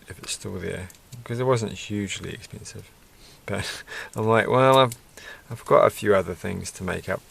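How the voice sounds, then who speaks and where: A man rambling softly to himself while building a toy kit at his desk at night, self-deprecating and a bit tired. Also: lowish voice, creaky. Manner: soft-spoken, muttering to himself, self-deprecating. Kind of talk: casual rambling build commentary.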